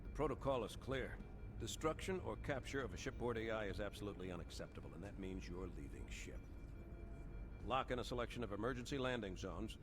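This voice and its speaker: An actor playing a weary, steady starship captain with a measured, commanding manner in a video game cutscene. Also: male voice, fairly low pitch, music in the background, natural voice. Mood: grave, urgent.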